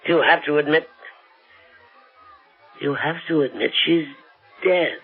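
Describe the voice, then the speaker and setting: A man's voice: a little high, takes it slowly, vocal fry, breathy edge, soft background music, heavily acted. An actor playing a concerned, deferential aide to a rich man in a radio drama, speaking with some hesitation. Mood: deferential, uneasy. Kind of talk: 1970s radio drama acting. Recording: dull vintage radio recording.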